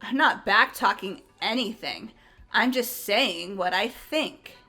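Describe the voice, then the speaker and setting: A woman's voice: medium pitch, animated, takes it slowly, bright, forceful tone, soft background music, heavily acted. A woman voicing a frank, casual, questioning teenage girl in a fan-voiced game. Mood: defensive. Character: frank, doubtful teenage girl, plain-spoken. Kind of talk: fan-voiced visual novel game acting.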